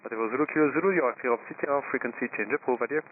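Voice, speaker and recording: Rapid-fire male tower controller on radio. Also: medium pitch. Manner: procedural, reeling off numbers. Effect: radio transmission. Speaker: air traffic tower controller. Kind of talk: clipped air traffic control instructions. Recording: narrow, staticky air traffic radio channel.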